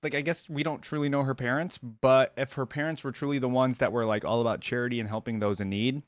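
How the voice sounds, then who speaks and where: A man's voice: medium pitch, steady tone, fast talker, no echo, studio quality. A man hosting a reaction video, giving casual, musing commentary. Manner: musing, agreeing in short interjections.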